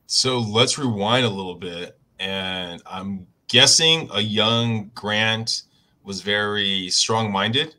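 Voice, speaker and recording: A man's voice: lowish voice, slow pace, bright, forceful tone. A man interviewing a guest, asking casual, probing questions.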